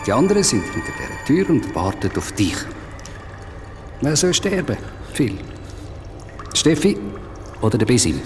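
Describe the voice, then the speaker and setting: A man playing a sinister dead tormentor in a radio play, coaxing, manipulative and calmly menacing. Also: mid-range voice, normal speed, long pauses, music in the background, lightly acted.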